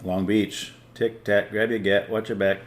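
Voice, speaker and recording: A man hosting a podcast in casual chat, laid-back and easily excited, with lots of casual slang. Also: on the low side, a little slow. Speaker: podcast host.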